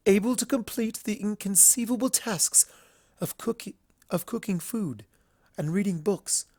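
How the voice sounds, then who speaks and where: Woman voicing an earnest, refined unicorn pony, raised pitch. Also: a little mellow, dry recording, studio quality. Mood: solemn, impassioned. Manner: formal, eloquent, emotive.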